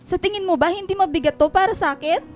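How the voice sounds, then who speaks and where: Very high-voiced, hurt Filipino mother. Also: fast talker, soft background music. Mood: defensive pleading. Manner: insistent, pleading, emotional. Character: defensive, hurt, self-sacrificing working mother. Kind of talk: Filipino radio drama acting.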